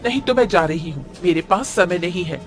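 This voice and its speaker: A woman's voice: fairly low pitch, lively intonation. Woman playing firm, haggling female shopper. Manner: brisk, argumentative, insistent. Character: firm, haggling, no-nonsense female market customer. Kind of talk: Hindi cartoon story voice acting. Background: background music.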